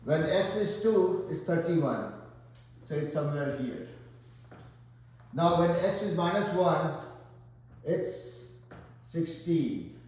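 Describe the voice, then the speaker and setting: Man, Indian accent, math tutor, fairly high voice, very echoey room. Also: expressive, slow, pauses often, darkish tone. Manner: explains step by step, thinks aloud while working. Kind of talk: whiteboard math lesson.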